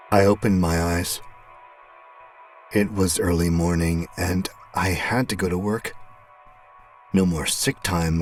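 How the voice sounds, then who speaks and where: A haunted, exhausted man , acted by a male narrator, tells his horror diary in a very low voice. He speaks slowly and gravely, irritable and coming unhinged, with music underneath. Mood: weary, dazed. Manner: slow, grave, haunted delivery. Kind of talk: dramatic first-person horror narration.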